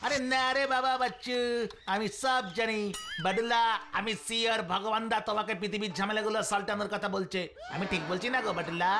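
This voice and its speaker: Someone doing a silly greedy cartoon kid, cheeky whiny rambler, loud music under it. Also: mid-range voice, steady tone, brisk, natural voice. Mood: cheeky, excited. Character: mischievous, food-loving, silly chattering young boy sidekick. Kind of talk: Bengali cartoon voice acting.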